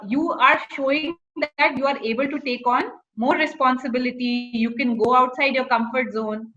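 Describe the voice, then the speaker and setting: A woman's voice: high voice, sharp tone, muffled recording. A woman with an Indian accent giving informal career advice to students, slipping between English and Hindi phrases.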